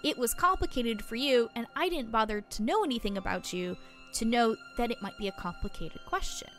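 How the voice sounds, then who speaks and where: A woman playing a patient small-town reporter who coaches a newcomer, speaking deliberately and encouragingly in an improvised story podcast. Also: fairly high pitch, animated, a bit bright, faint music behind. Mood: instructive.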